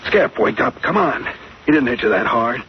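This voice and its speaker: An actor playing a take-charge adventurer captain in 1940s radio style, urgent, bossy and dryly exasperated. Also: male voice, medium pitch, lively intonation, moderate pace, close to an everyday voice. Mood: exasperated, urging. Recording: muffled, noisy vintage radio recording.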